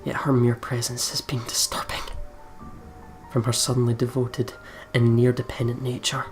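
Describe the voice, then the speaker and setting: A man reading visual novel narration aloud as a let's player, dramatically, dropping to a hush at phrase ends. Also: medium pitch, little pitch movement, normal speed, faint music behind.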